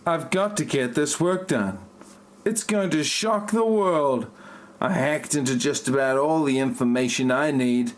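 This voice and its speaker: A man acting out a stiff, self-important writer who hacks on the side. He speaks slowly and robotically in a fairly high male voice, full of grievance, as in an amateur parody audio play. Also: lightly acted. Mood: earnest, stilted. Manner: stilted, robotic delivery. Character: stiff, aggrieved male novelist and self-styled hacker.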